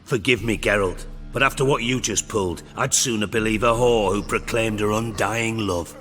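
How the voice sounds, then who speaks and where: An actor playing a cynical middle-aged spymaster in a video game, with a British accent and a mockingly polite, wry, profane way of talking. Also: male voice, lowish voice, moderate pace, a bit bright, long reverb tail, soft background music, lightly acted. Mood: scornful. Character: cynical, shrewd, sarcastic male underworld spymaster, middle-aged.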